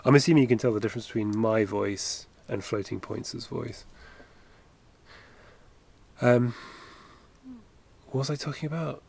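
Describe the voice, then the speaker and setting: A man's voice: mid-range voice, measured pace, gravelly, pauses often, a little mellow. A man in his thirties chatting in a rambling, self-correcting way while drawing on a livestream, recorded on a headset at home. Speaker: animation streamer. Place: home studio, indoors.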